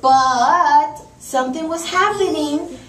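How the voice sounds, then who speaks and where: Echoey, high-pitched woman storyteller. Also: slow pace, fairly bright tone. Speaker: narrator of children's puppet show. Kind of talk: live storytelling narration to children. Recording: echoey, noisy room from far off.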